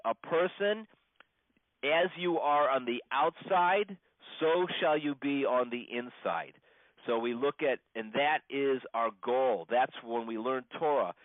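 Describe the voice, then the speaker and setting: A man, a rabbi, giving an informal religious lesson in a halting, conversational way, repeating points for emphasis. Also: mid-range voice, dry recording. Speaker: rabbi teaching a class. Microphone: phone. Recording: muffled band-limited phone conference call.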